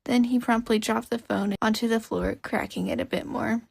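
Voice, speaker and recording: A woman reading scripted fiction as an expressive audiobook-style narrator. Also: on the high side, moderate pace, vocal fry, slightly rough, no echo. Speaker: podfic narrator.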